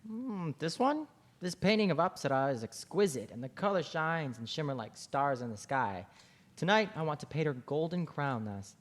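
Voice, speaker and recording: A man's voice: a little high, very animated, normal speed. A man playing a dreamy, lovestruck painter in a table read of a romantic play, with a wistful manner. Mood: friendly. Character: lovestruck dreamy male painter, reincarnated ancient king.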